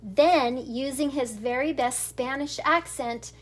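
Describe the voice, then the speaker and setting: An older woman reads a picture book aloud like a grandmother at storytime. Her voice is high and her pace very slow. She is informal and giggly, slipping in chatty asides. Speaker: grandmother reading children's books aloud.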